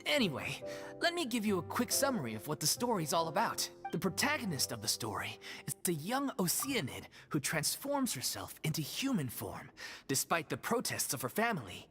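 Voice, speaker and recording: A thoughtful, expressive troupe member. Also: male voice, on the high side, moderate pace. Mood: storytelling. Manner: storytelling, expressive narration of a plot. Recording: game audio over background music. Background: game music.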